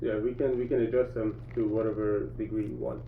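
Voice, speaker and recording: A man who works as a doctor, from Iran, explains a treatment to a patient in a calm, reassuring way, full of fillers. His voice comes from across an echoey exam room. It sounds thin and phone-like, with faint hiss behind it. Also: fairly low pitch, a little fast, creaky. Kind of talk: casual clinic consultation. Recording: distant echoey phone-like recording.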